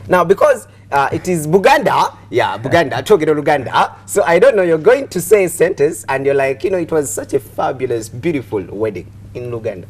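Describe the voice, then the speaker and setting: A man's voice: fairly high pitch, sing-song, a little fast, hardly any pauses. A young man with a Ugandan accent hosting a casual TV interview, talking in a chatty, repetitive way. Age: young adult. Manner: chatty, repetitive, punctuated with "you know". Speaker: TV host. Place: TV studio. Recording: clearly audible background noise.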